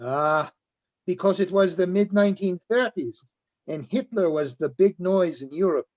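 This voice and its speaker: Man playing high-voiced folksy listener, slightly muffled. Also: moderate pace, close to an everyday voice. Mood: eager, knowing. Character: folksy parent, eager listener chiming in with guesses. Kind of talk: radio play reading by amateur readers.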